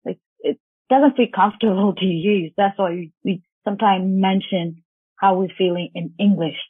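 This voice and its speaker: A woman's voice: medium pitch, normal speed, breathy edge, dry recording. Woman on a tinny line. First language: Burmese. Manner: hesitant, thoughtful, with small laughs. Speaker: guest campaigner. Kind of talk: casual interview chat. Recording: tinny phone-like call line.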